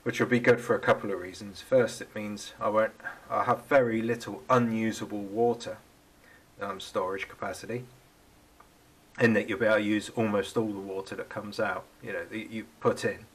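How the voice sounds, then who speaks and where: A man's voice: on the low side, steady tone, normal speed, roomy sound, recorded from a distance. A man in his thirties with the flu, casually explaining his boat build while working in a cold garage workshop. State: has the flu.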